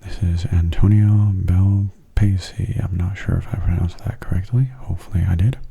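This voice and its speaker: A man giving soft-spoken ASMR gameplay commentary in a hushed, breathy, unhurried and casual way, close to the microphone. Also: steady tone, dull audio. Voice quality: breathy, husky low voice. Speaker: gaming ASMR video host. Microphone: close mono microphone.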